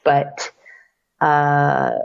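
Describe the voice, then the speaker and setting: A woman being interviewed about her podcast, talking hesitantly with many pauses. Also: low-pitched, monotone, gravelly, lo-fi sound. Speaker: guest, audio drama podcaster. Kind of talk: casual interview chat.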